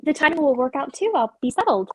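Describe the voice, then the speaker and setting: A woman playing an earnest, gentle, conscientious character in a dramatic play reading. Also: high-pitched, expressive, fast, dry recording. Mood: hopeful.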